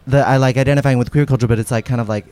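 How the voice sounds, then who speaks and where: Clear-voiced, hesitant young-sounding man. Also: medium pitch, fairly even intonation, racing, a little mellow. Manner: hesitant, peppers speech with "like". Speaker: podcast guest. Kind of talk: casual chat.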